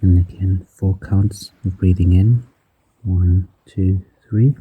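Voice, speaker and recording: A man guiding a breathing meditation in a slow, soft-spoken manner, counting the breaths aloud and dropping some counts to a whisper. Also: low-pitched, fairly even intonation, breathy edge, narrow, tinny audio. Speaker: meditation guide.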